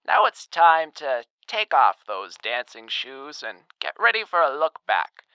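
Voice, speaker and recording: A man's voice: medium pitch, sing-song, measured pace, bright, forceful tone, dry recording, low-quality recording. A man playing a formal, theatrical 1930s radio announcer who narrates in a grave, scripted style. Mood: showmanlike. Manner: crisp, formal, dramatic delivery.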